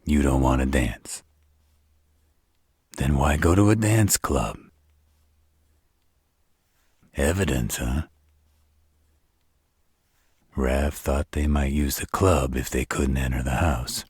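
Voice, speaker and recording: An arrogant, flirtatious male vampire played by a man speaks in a rock-bottom, heavily husked voice. He drawls slowly and smugly, taunting the listener as in an intimate roleplay audio drama. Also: a little mellow, no echo, studio quality, exaggerated voice. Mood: smug, needling. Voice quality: very deep, husky, rough. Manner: smug, taunting, slow drawl.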